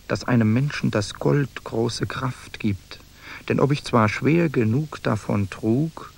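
A man's voice: medium pitch, gravelly, a little hiss or hum. An actor in a German radio drama playing a hardened soldier who narrates his own tale in archaic, clipped diction. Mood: wry, reflective.

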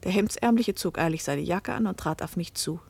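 An actress playing a young woman who narrates her own story in a radio play, reflective and measured. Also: on the low side, creaky, darkish tone, husky. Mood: detached, amused.

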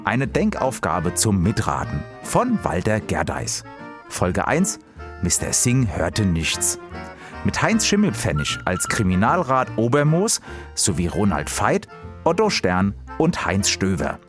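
A male announcer reads the closing credits of a radio play. He speaks slowly and formally with a low, lively-pitched voice. Clear music plays behind him. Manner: slow, formal.

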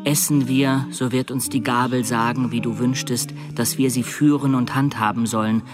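An actor playing an earnest, stern and dutiful pupil in a literary radio drama, speaking in solemn, measured, formal declamation. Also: male voice, mid-range voice, steady tone, music in the background, close to an everyday voice. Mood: solemn, grieving.

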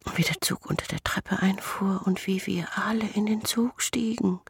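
A man voicing a scarred, obsessive twin brother in a radio drama, insistent and secretive, with a breathy, raspy whisper. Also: high-pitched, normal speed, noisy background, voice pushed up. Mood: urgent, secretive. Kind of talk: intimate radio drama acting.

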